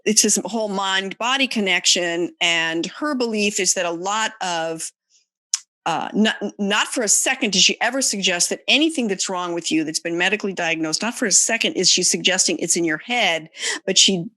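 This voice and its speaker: A woman's voice: medium pitch, normal speed, bright, forceful tone, no room sound. A woman hosting a podcast, interviewing conversationally and repeating phrases for emphasis. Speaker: podcast host.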